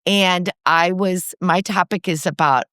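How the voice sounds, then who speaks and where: Older woman w a low voice, chatty podcast co-host, dry close sound. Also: a little slow, clean tone, dry recording. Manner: animated storytelling, frequent restarts and laughs. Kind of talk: casual conversational podcast. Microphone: headphones on, studio-style setup.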